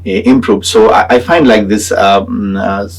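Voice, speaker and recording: A man with a Nepali accent explaining his research as a graduate student in a halting way, pausing often as he talks. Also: on the low side, lively intonation, creaky, soft background music, rough recording. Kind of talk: semi-prepared explanatory talk about own research.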